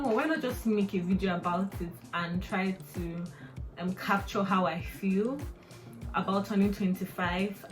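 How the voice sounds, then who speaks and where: Chatty young Nigerian woman vlogger. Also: mid-range voice. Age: 20s. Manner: chatty, rambling, laughs often. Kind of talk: casual personal vlog.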